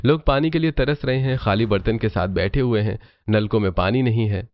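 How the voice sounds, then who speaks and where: A man's voice: on the low side, fairly quick, dry recording, lo-fi sound. A man narrating a scripted story.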